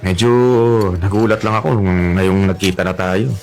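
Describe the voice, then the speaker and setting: An actor with a Filipino accent playing a shy, flustered single dad in a radio drama, hesitant and apologetic. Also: male voice, deep voice, fairly even intonation, moderate pace, faint music behind. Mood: shy, earnest. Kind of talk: Tagalog radio drama acting.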